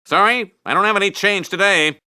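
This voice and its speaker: An actor playing a smug, vain middle-aged American TV news anchor in a cartoon game, with a pompous mock-serious broadcast delivery. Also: male voice, high voice, brisk, background noise, lightly acted. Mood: vain, breezy. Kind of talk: cartoon game newscast commentary.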